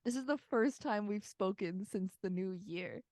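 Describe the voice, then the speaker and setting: A woman co-hosting a podcast, joking energetically in casual banter and swinging loud at times. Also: medium pitch, moderate pace, dry recording. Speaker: podcast co-host. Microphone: remote call, separate room.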